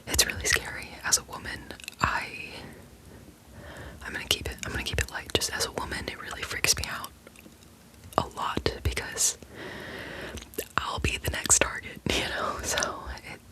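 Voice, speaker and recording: A young woman hosting an ASMR channel, whispering softly as she reads stories, easily moved to tears. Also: normal speed, pauses often, poor audio.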